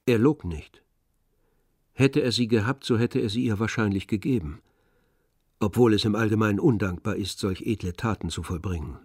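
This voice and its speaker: An actor narrating a literary radio play in a measured, composed storytelling manner. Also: male voice, lowish voice, a little mellow, husky, dry recording. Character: composed male literary narrator of a tragic novel. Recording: dry studio, music underneath.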